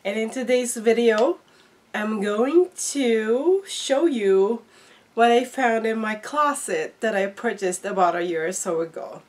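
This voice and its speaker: Slow-paced, slightly congested woman vlogger. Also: a little high, expressive. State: slightly congested, recovering from flu. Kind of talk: casual unboxing vlog. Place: small indoor room at home.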